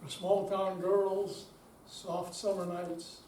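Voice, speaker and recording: A man's voice: sits high, flat delivery, slow pace, darkish tone. An older man giving a dramatic reading as an amateur stage performer in a senior center room. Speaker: amateur readers theater performer. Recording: distant, echoey room with faint noise.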